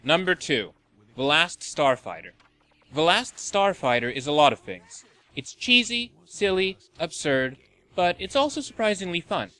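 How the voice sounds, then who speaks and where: A man's voice: on the high side, very animated, measured pace, pushed and bright. A man hosting a video game and movie show, reading scripted list narration with a wry, tongue-in-cheek manner.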